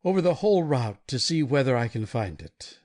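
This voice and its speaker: A woman's voice: a bass voice, big pitch swings, moderate pace, a little mellow, exaggerated voice. A woman voicing an anxious, practical husband in a dramatic audiobook reading. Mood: resolute.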